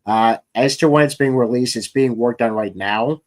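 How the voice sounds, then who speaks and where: A man's voice: medium pitch, moderate pace. A man hosting a casual interview about his creative work, talking as a writer. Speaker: podcast host and comics writer.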